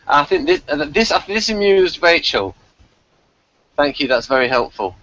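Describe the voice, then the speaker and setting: A man's voice: on the high side, big pitch swings, normal speed, sharp tone, dull audio. A man chatting casually as he co-hosts a review, with a wry, nitpicking, hesitant manner. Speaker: podcast co-host reviewing a TV episode.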